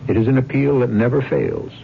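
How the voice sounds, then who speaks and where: A man's voice: mid-range voice, wildly swooping pitch, brisk, vocal fry, very noisy. A man hosting a radio mystery drama, narrating in a grave, theatrical, literary manner. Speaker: host and narrator. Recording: muffled old radio broadcast. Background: music.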